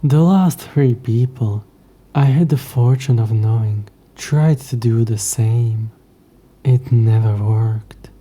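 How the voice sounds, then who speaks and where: A man voicing a smug, coy, centuries-old demon in an audio roleplay, speaking in a hushed, breathy voice with haughty, old-fashioned, taunting phrasing. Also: mid-range voice. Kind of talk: scripted audio roleplay addressed to the listener.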